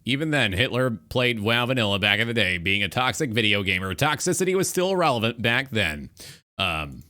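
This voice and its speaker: Animated male host, bright voice. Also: on the low side, moderate pace. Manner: rambling, swearing, echoing what he hears. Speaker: talk show host. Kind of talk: casual streamer commentary.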